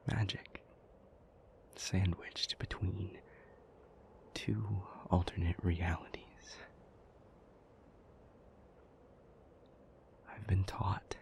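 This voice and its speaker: A man playing a troubled, powerful demon in an intimate audio roleplay, speaking breathily in deliberate, halting phrases. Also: sits low. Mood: uneasy, confiding. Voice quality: breathy, half-whispered. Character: troubled, powerful male demon confiding gravely in a lover.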